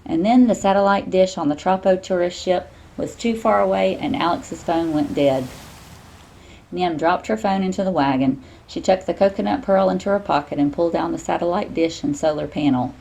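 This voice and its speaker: A woman reading a children's storybook aloud in an expressive, teacherly way. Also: lowish voice, moderate pace, gravelly, rough recording.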